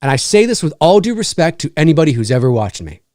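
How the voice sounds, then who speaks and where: Lively, profane man hosting a podcast. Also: fairly high pitch, fast, dry recording, studio quality. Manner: lively, profane, rambling with mock-quoted voices. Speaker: podcast host. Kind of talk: casual chat.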